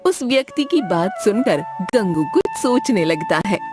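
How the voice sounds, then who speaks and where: Animated woman narrating a story. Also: medium pitch, unhurried. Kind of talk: scripted moral story narration. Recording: music behind the voice.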